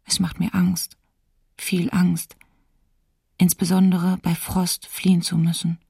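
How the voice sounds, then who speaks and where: A woman's voice: lowish voice, completely flat delivery, slow, vocal fry, mellow, husky, no echo, clean studio sound, exaggerated voice. An actress playing a lonely, fearful woman diarist in wartime winter, sombre and restrained, in a documentary radio play. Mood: anxious, sombre. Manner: sombre, restrained, short plain sentences.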